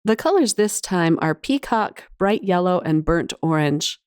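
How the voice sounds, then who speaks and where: A woman's voice: fairly low pitch, lively intonation, a little slow, a little mellow, clean tone, no echo, studio quality. A woman hosting a casual craft tutorial voice-over, chatty and enthusiastic, her voice slightly off from a cold and cough. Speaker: craft channel host.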